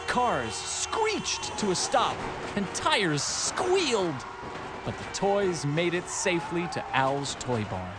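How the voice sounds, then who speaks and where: A man narrating a scripted children's read-along story in an expressive, animated storytelling manner. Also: high voice, takes it slowly, heavy background noise. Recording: music behind the narration.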